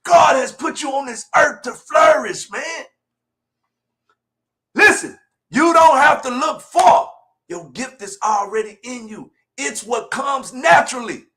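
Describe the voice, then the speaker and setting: A man, preaching motivation in a colloquial, emphatic and repetitive way, moving between low asides and shouted exhortation. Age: 40s. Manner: colloquial, direct address to listeners. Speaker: motivational speaker. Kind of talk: fiery motivational preaching on a livestream.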